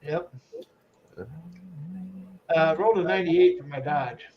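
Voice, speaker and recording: High male player on muffled audio. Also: measured pace, crowd noise. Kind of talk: casual tabletop game chat.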